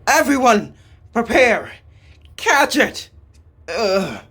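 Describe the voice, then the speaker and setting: An alert young-sounding game warrior, acted out by a man, pitched well up and pushed louder. The delivery is urgent and commanding, like a soldier on guard. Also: very animated, drawn-out words, husky, exaggerated voice. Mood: urgent, alarmed.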